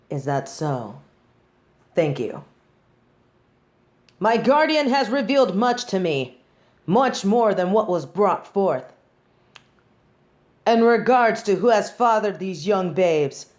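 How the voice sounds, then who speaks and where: A woman performs a haughty female angel who presides as judge in an audio drama. She speaks slowly and formally, with pompous, theatrical command, at a middling womanly pitch. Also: darkish tone. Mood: quiet, attentive, then indignant, declaring. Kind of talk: scripted audio roleplay.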